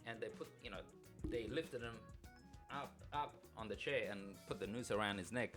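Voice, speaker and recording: A man's voice: fairly low pitch, moderate pace, fairly bright tone. Informal man from Afghanistan, music behind him. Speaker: podcast co-host. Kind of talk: casual personal storytelling.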